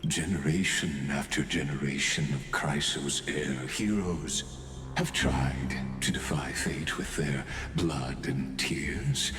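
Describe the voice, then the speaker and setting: A man voicing an ominous, grandiose game villain, an imprisoned genius who speaks in a theatrical, gloating way and mocks fate. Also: rumbling low. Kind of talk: video game voice acting. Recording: echoey voice under loud game music.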